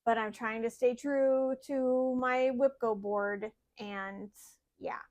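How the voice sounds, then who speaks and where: A woman co-hosting a casual stitching chat, listing her projects excitedly with fillers and restarts. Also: a little high, slow pace. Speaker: podcast co-host.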